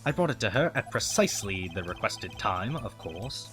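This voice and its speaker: A man's voice: fairly low pitch, very animated, moderate pace, fairly bright tone, soft background music. A man voicing an obsequious, formal head bellboy with an over-precise manner in a video game fandub. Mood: dutiful. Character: eager, obsequious male head hotel bellboy.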